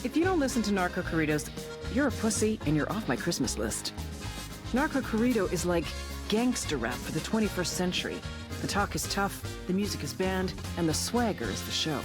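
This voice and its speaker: A woman's voice: lowish voice, very animated, brisk. An actress playing a cynical, wisecracking female agent, narrating in a dry, sardonic and crude way like a briefing. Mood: wry, brash. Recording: voice over music.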